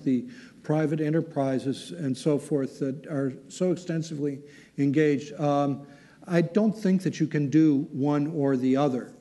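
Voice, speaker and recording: A man on a panel answers a question with a mellow, slightly high voice. He thinks aloud as he talks, filling the gaps with "you know" and "uh.". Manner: thinking aloud, many fillers. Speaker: panel expert.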